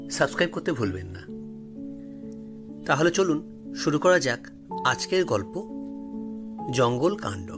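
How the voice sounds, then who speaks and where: Male storyteller, slightly high voice, very animated dramatic narration, music underneath. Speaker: storyteller, channel host.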